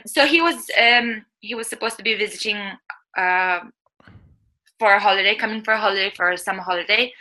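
A woman's voice: medium pitch, sharp tone, poor audio. A woman in her thirties, not a native English speaker, telling her life story candidly in a casual interview, often laughing and searching for words. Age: 30s. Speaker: guest.

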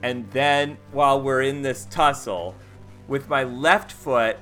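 A man with a bright, forceful voice of average pitch plays a tabletop game. He talks in loose slang, working out his moves aloud, with faint music behind him. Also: slow. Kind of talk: casual improvised game chat.

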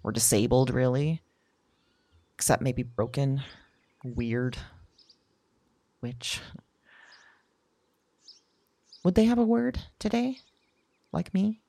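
Actress as a husky, haunted introspective woman. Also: very deep voice, expressive, dark tone, dry recording, exaggerated voice. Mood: searching, uncertain. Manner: halting, musing, confiding. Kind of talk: audio drama monologue.

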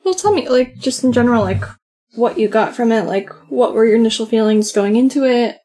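A soft-voiced woman co-hosting a podcast, talking casually and often saying "like". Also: on the high side, a little fast. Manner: chatty, many "like" fillers. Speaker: podcast co-host. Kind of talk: casual conversation.

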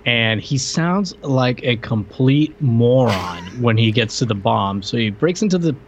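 A man's voice: mid-range voice, lively intonation, measured pace, a little hiss or hum. A man co-hosting a podcast, chatting casually about a film and retelling scenes in slangy, incredulous fashion. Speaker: podcast co-host. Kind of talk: casual film-review chat.

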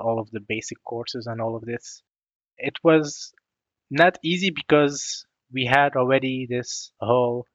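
A young man from Belgium whose first languages were French and Arabic, telling his own story in a measured, reflective way. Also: medium pitch, fairly bright tone, no room sound, dull audio. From Belgium (West Flanders). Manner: measured, reflective, with small restarts. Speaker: guest sharing his story. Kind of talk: personal storytelling.

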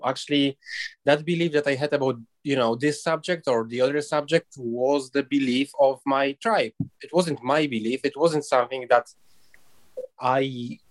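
Hesitant man. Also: mid-range voice. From Poland. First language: Polish. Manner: thoughtful, hesitant, searches for words. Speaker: guest. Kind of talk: reflective discussion.

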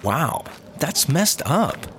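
A man's voice: fairly high pitch, big pitch swings, very slow, a bit bright, a little hiss or hum, full character voice. A man playing a clueless, cheeky fellow in a comedy audio drama, with a glib, oblivious delivery. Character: clueless, cheeky man, flippant lovelorn rehab patient.

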